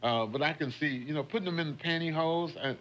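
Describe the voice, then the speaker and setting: Guy hosting morning radio, chatty n folksy, voice sits a bit high. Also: fast, fairly bright tone, highs cut off, slight background noise. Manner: folksy, chatty, laughs often. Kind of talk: casual radio chat. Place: radio studio. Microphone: studio microphone.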